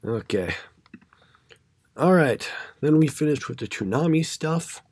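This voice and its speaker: A hoarse man co-hosting a podcast, recapping episodes in a halting, filler-heavy casual chat. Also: fairly high pitch, expressive, darkish tone, dry recording. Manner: halting, many fillers and restarts. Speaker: podcast co-host.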